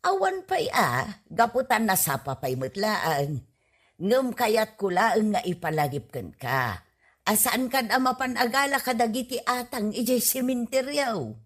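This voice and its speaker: A woman with an Ilocano accent playing a fond but admonishing older mother in a comedy radio drama, her voice husky. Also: on the high side, big pitch swings, unhurried, full character voice. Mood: earnest. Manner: admonishing, pleading. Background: faint music.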